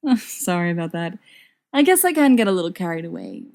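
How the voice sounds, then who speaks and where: A woman's voice: fairly low pitch, wildly swooping pitch, fairly quick, vocal fry, a little mellow, full character voice. An earnest young wizard played by a woman reader in a fantasy audiobook. He talks persuasively and thoughtfully, with a bitter, aggrieved conviction under the polite manner. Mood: rueful, probing.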